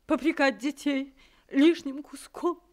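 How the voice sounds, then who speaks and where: Soft-spoken mother, high, reproachful; radio drama. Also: fairly even intonation, slow pace. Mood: pleading. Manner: pleading, reproachful. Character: gentle, pained mother. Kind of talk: 1960s Soviet radio drama acting.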